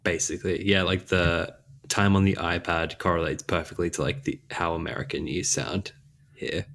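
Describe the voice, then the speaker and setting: A man's voice: deep voice, flat delivery, normal speed, creaky, a bit bright. A man from Australia with a mild Australian accent, co-hosting a podcast in laid-back, chatty conversation. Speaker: podcast co-host. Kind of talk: casual chat.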